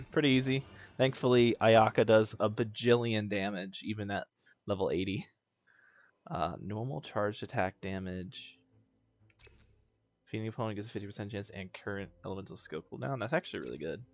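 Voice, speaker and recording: Young guy game streamer, lower-pitched, easygoing banter with casual swearing. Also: normal speed. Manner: laid-back, self-mocking, swears casually. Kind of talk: casual gameplay commentary.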